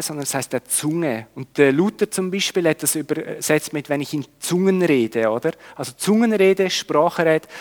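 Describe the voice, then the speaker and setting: A man's voice: fairly high pitch, in a large hall. A man with a Swiss German accent teaching a Bible study in a conversational way, with tag questions and restarts. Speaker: preacher.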